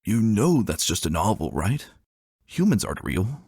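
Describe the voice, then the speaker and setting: A man voicing a wounded soldier pony father, patient, weary and gentle, in a dramatic story reading. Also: lowish voice, sing-song, normal speed, slightly rough, dry recording, clean studio sound, exaggerated voice. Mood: gently instructing. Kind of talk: dramatic fan-fiction reading.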